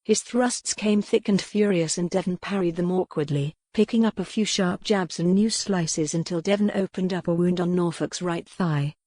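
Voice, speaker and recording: A woman's voice: lowish voice, moderate pace, hardly any pauses, mellow, dry recording. A woman reading a historical romance novel as a scripted, dramatic audiobook narration.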